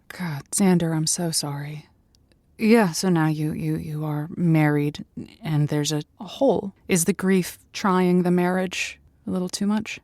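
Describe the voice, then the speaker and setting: A woman's voice: fairly low pitch, takes it slowly, darkish tone, no room sound. A woman playing a thoughtful, sympathetic host of a conversational call-in advice show, with a hesitant, stammering delivery. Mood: sympathetic, careful.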